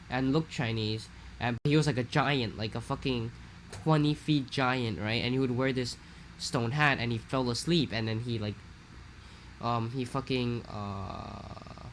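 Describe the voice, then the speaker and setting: Casting: young man, hoarse and losing his voice, rambling and profane, typical pitch, light background hiss. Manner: profane, rambling, slangy with frequent "bro". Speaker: podcast co-host. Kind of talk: casual chat.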